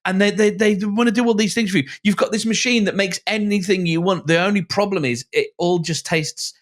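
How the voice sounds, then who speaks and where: A man's voice: high-pitched, fairly quick. A man talking casually as a podcast panelist, hesitantly searching for his words. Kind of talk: casual discussion.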